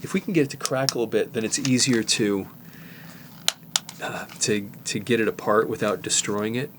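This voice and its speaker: A man's voice: fairly low pitch, moderate pace, noisy background. A middle-aged man showing a cassette repair in his workshop, thinking aloud as he works, with restarts and asides. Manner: relaxed, thinking aloud with restarts while working. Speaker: tape repair technician. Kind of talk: hands-on repair demonstration.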